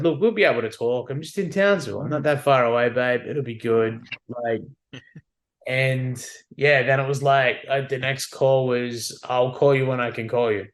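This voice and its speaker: Brief: Australian male veteran, 30s, candid podcast guest, easygoing storyteller. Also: mid-range voice. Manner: relaxed, candid, acts out quotes. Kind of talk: casual storytelling chat.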